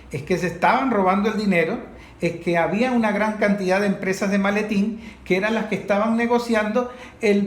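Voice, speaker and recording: A Venezuelan man in an unscripted discussion, making emphatic points through repetition. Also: sits high. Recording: echoey room.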